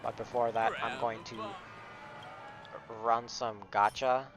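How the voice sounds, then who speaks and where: A young man gives casual game livestream commentary. His mid-range voice moves slowly and haltingly, with laid-back slang and soft music behind it. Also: mid-range voice, little pitch movement. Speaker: game streamer.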